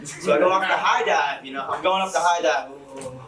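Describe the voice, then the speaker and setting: Young man, higher-pitched, chatty storyteller, distant mic in an echoey hall. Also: fairly quick, a crowd in the background. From Lowell, Massachusetts. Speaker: event speaker, teacher. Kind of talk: casual speech to an audience. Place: event hall.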